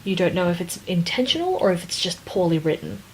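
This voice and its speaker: A woman's voice: on the low side, sing-song, brisk, a little hiss or hum, poor audio. A woman co-hosting a casual podcast book discussion, thinking aloud hesitantly and circling back over her phrases. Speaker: podcast co-host.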